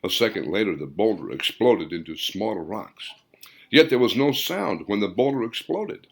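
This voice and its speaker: Male audiobook narrator, fiction. Fairly deep voice, very lively pitch movement, theatrical reading. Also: normal speed, creaky. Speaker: author reading own audiobook.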